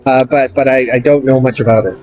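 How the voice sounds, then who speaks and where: A man's voice: mid-range voice, fairly quick, soft background music, poor audio. An older man from Canada, a lawyer near retirement, answering street interview questions in a friendly, easygoing way. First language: English. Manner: friendly, relaxed, repeats words when starting. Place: outdoors on a city street.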